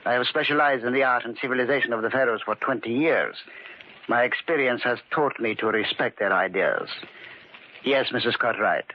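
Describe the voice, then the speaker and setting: An actor playing a grave antiquities dealer who speaks in a measured, solemn way in 1940s radio drama style. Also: male voice, medium pitch, close to an everyday voice. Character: grave middle-aged Egyptology dealer. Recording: dull old radio recording.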